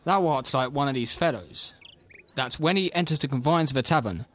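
A young man student playing a mocking, hot-headed gentleman in a Shakespeare audio play, bantering and taunting. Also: medium pitch, fairly quick, lightly acted. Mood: mocking, playful. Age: young adult.

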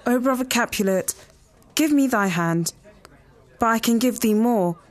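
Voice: medium pitch, lively intonation, unhurried, close to an everyday voice. An actor with a British accent playing a grave, mourning noble in a Shakespearean audio drama, solemn and formal. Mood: sorrowful reconciliation. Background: soft plucked-string music.

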